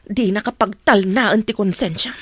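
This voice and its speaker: A woman's voice: mid-range voice, moderate pace, fairly bright tone, husky, dry recording, studio quality. An actress playing a loyal, outspoken woman in a radio drama, speaking earnestly and emphatically on behalf of her friend. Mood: indignant, accusing.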